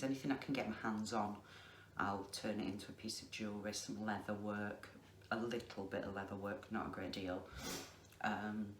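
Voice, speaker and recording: A middle-aged woman with a Lancashire accent, chatting casually to camera in a slow, deliberate way with many ums. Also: extremely low pitch. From England. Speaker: craft vlogger. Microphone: facing the camera. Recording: echoey room with faint noise.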